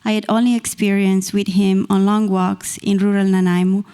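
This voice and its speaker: A woman in her thirties from Italy with an Italian accent, reading her poetry slowly and deliberately into a stand mic on a small stage. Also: medium pitch, flat delivery, mellow, clear, resonant tone. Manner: measured, deliberate reading from a page. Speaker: poet reading her work. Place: small stage in a dark performance venue. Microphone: stand mic close to the mouth.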